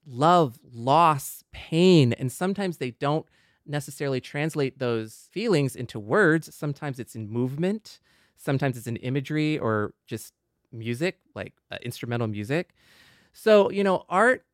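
A man's voice: a little high, dry recording. Reflective man co-hosting a podcast, close dry sound. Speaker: podcast co-host. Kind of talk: casual podcast discussion.

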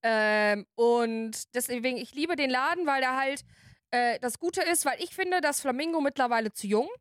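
A woman's voice: high-pitched, normal speed, no room sound, clean studio sound. A woman in her mid twenties co-hosting a podcast in an informal, casual chat with youthful slang. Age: mid 20s. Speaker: podcast co-host.

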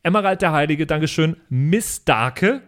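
A man's voice: a little high, expressive, moderate pace, a bit bright. A man hosting a podcast, reading out thanks to supporters in a casual, playful way.

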